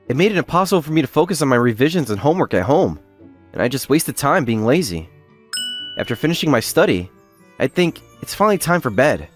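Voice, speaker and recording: A man playing a quiet, earnest, lovesick schoolboy in a manga dub, reserved and halting when flustered. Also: mid-range voice, natural voice. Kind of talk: dramatic manga dub narration and dialogue.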